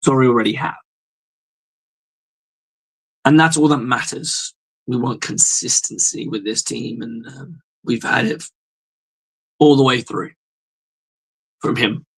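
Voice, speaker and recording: A male host with a hoarse voice, giving a blunt, profane, rambling fan's reaction in a casual sports monologue. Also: mid-range voice, slow pace, pauses often, poor audio.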